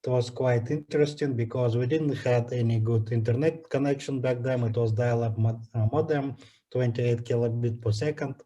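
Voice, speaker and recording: Mellow man with a Kazakhstani accent. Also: medium pitch, steady tone, normal speed. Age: 40s. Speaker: interview guest, energy executive. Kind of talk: conversational interview answer, personal background.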